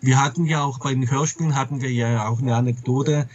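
A man's voice: mid-range voice, steady tone, fairly quick, darkish tone, no echo, highs cut off. A man being interviewed as a publisher, chatting casually in a rambling way and often repeating himself. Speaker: comics and audio drama publisher, interview guest.

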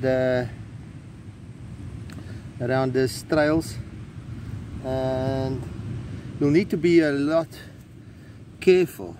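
A middle-aged South African man who grew up speaking Afrikaans, hosting a casual vlog on a coastal trail, talking slowly with many fillers and restarts into a handheld phone. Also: mid-range voice, a little mellow. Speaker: vlogger host. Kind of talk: casual outdoor vlog narration. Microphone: phone camera held by hand. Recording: faint outdoor noise.

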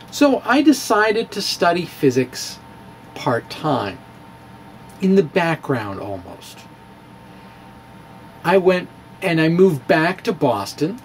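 Middle-aged man, slightly high voice, unhurried, storytelling blog narration, close mic, faint room noise. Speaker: physics blogger. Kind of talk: dramatic reading of a blog with informal asides. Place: small indoor room with bookshelves. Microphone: handheld-style microphone on a stand, close in front. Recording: clear background room noise.

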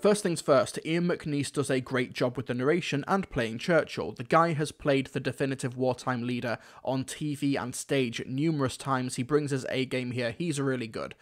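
A man's voice: mid-range voice, normal speed, hardly any pauses, soft background music. A man delivering scripted review commentary, opinionated and wry, with emphatic phrasing. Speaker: reviewer, host.

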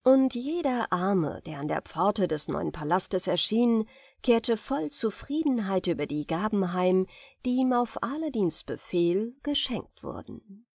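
Lively female fairy-tale narrator. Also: mid-range voice, unhurried, creaky, slightly rough, dry recording, studio quality. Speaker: audiobook narrator.

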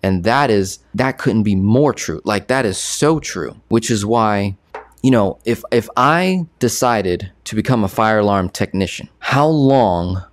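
A young man giving casual, energetic talking-head commentary on money into a studio boom mic, repeating himself and gesturing a lot. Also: unhurried, dry recording. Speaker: personal finance creator. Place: small bright studio. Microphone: boom arm studio mic.

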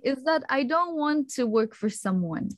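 A woman in her thirties from Poland, a native Polish speaker, chatting as a guest on a video call, relaxed and sprinkled with fillers and small laughs. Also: sits high, moderate pace, no room sound, studio quality. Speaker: guest, kimono stylist and photographer. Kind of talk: casual video-call interview. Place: small room at home.

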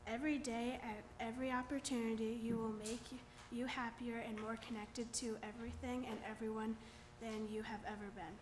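A woman's voice: a little high, level tone, fairly quick, hardly any pauses, noisy background. A young woman reading a series of quotations at a church lectern, naming each author after. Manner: reads quotes then names the author. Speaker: reader. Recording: echoey church room.

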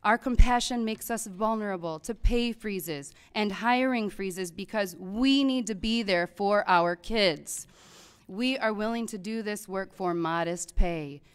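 A woman in her thirties addresses a school board from a podium microphone in a large public hall. Her voice is smooth and clear at a middle pitch, earnest and pleading as she reads her statement. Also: unhurried. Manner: earnest, pleading, rising to loud emphasis. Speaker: teacher giving public comment. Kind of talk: prepared public comment speech.

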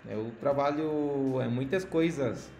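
A man's voice: medium pitch, slow, dark tone, reverberant, slight background noise. A man in his twenties chatting playfully and flirting on a webcam in a small room, laughing often. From United States. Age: 20s. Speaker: vlogger chatting with strangers. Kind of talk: casual chat.

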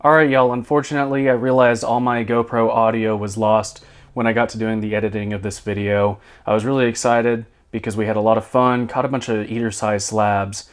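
A man's voice: lowish voice, little pitch movement, a little fast, a little hiss or hum. A man, chatting casually as a fishing vlogger. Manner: easygoing, chatty, folksy. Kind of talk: casual chat to camera.

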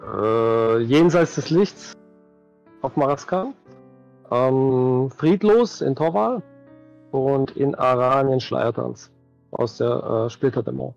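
A man's voice: medium pitch, animated, takes it slowly, gravelly, a little mellow, highs cut off. A middle-aged man chatting casually as an interview guest, recorded indoors on a video call. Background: music.

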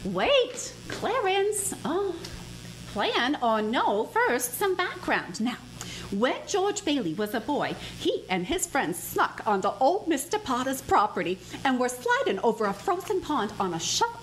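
A senior angel narrating the tale, as a woman plays the boss. Her pitch sits high and swings widely as she tells the story. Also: very animated, measured pace, slight background noise, rough recording. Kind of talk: radio play narration.

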